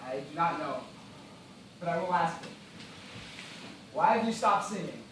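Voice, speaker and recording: Man playing a despairing gentleman castaway, weak, formal, melodramatic, stage play, heavy echo, distant from microphone. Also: fairly high pitch, animated, measured pace. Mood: subdued. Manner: formal, courteous, melodramatic diction. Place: school theatre stage, recorded from afar. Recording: very echoey, noisy distant stage recording.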